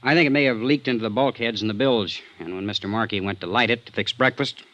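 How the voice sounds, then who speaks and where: A man's voice: lowish voice, bright, forceful tone, no room sound. An actor playing a defensive, earnest young man under suspicion in a vintage radio drama. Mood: earnest recounting. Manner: defensive, earnest, quick to bristle. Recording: dull, band-limited old radio recording.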